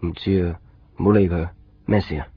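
A sullen, evasive Hong Kong man in a radio drama, with a deep and very rough, husky voice. He is curt and downcast, on a dull old recording. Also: steady tone, a little fast. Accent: Hong Kong Cantonese. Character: glum, evasive, sullen male copy-shop worker. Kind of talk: 1990s Cantonese radio drama acting.

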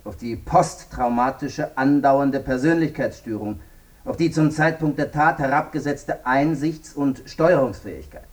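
A man's voice: mid-range voice, measured pace, far from the mic, close to an everyday voice. Actor as formal, insistent male lawyer. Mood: firm, formal. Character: formal male courtroom lawyer arguing for a lenient sentence. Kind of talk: radio drama acting.

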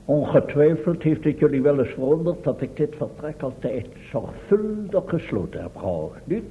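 An actor playing an eccentric, self-important professor and inventor in a Dutch radio serial, pompous and often hunting for words. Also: male voice, animated, moderate pace, husky, heavily acted. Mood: proudly secretive. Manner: pompous, hesitant, searching for words. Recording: muffled phone-like old radio recording, rumbling noise.

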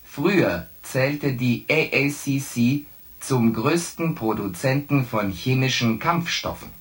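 An actor playing a coolly logical ship's artificial intelligence in a science fiction radio play, with stilted, emotionless phrasing. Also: male voice, medium pitch, takes it slowly, lightly acted. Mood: coolly analytical.

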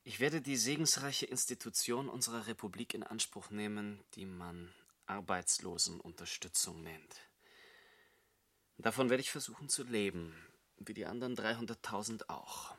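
Embittered, weary young man, low-voiced. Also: moderate pace, pushed and bright, no echo. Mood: bitter, agitated. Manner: bitterly sarcastic, brooding, emphatic. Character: embittered jobless man of thirty, weary, despairing. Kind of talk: German radio drama acting.

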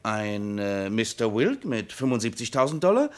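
A man's voice: on the low side, very animated, moderate pace. An actor playing a talkative, slightly flustered insurance salesman in a vintage radio crime drama. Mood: businesslike.

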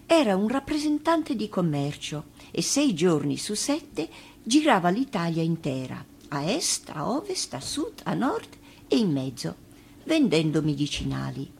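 A woman reading a children's fairy tale for radio as an actress, with measured, theatrical phrasing. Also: fairly low pitch, big pitch swings, vocal fry, a little mellow, a little hiss or hum. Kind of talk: expressive children's storytelling for radio.

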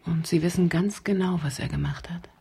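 Nighttime companion: woman with a breathy, gravelly voice, confiding and curious, for radio crime comedy. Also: lowish voice, brisk, dark tone, full character voice. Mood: intimate, curious. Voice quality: husky, breathy, creaky. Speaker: actor. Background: music.

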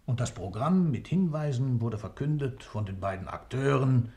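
A man's voice: mid-range voice, expressive, normal speed, mellow, close to an everyday voice. An actor playing an accused man who tells a story in an intense, theatrical, emphatic way, sometimes dropping to a whisper, in a literary radio play. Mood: vivid narration.

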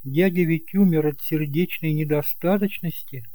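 A man's voice: a little high, normal speed, mellow, no echo, voice pushed up, lightly acted. A man playing an earnest young bridegroom in an audiobook drama, uneasy, subdued and hesitant.

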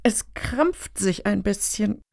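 Woman acting moralizing progressive, mid-pitched, creaky edge, pointed, close dry recording, radio satire. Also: expressive, slow pace, a little mellow, dry recording. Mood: uneasy. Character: earnest, moralizing progressive female panel member, indignant. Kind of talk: satirical radio play dialogue.

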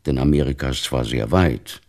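An actor playing a devout, stern, weary older father in a literary radio play, speaking gravely with a gravelly, husky voice. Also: a bass voice, moderate pace, a little mellow. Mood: resigned.

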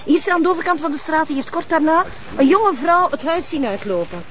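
An actress playing an eager, apologetic police officer with a Flemish accent in a TV drama. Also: female voice, sits high, very animated, brisk. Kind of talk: naturalistic TV drama acting.

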